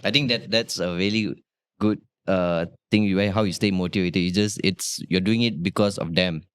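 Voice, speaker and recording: A man hosting an interview, gentle and affirming, phrasing his questions haltingly. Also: low voice, steady tone, clean tone, no room sound. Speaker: host.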